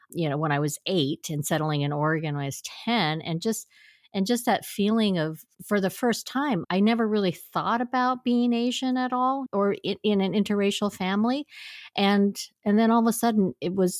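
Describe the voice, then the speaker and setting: A woman's voice: medium pitch, moderate pace, no room sound, studio quality. A middle-aged woman interviewing a guest, speaking in an earnest, reflective way with frequent restarts. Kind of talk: conversational interview.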